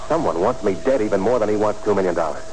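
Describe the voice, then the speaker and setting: A man's voice: on the low side, animated, fast talker, a little mellow, slight background noise, close to an everyday voice, low-quality recording. An actor playing a tough, dogged man hunting his past, with clipped hard-boiled delivery in 1940s radio drama style. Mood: tense, probing. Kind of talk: 1940s radio acting with first-person narration. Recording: old 1940s radio broadcast recording.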